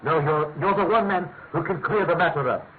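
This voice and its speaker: An actor playing a pompous, fussy middle-aged government official with a British accent, halting and emphatic, in classic radio mystery style. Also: male voice, a little high, natural voice. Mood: fussy, persuasive. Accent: British English. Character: pompous, fussy male senior government official, gravely insistent. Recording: tinny, narrow-band radio recording with hiss.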